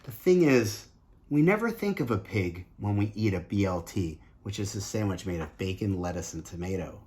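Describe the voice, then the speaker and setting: A man's voice: on the low side, animated, normal speed, darkish tone. A man reading a picture book aloud as a teacher, earnest and emphatic, with explanatory asides to young listeners. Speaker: teacher and host of a children's read-aloud show.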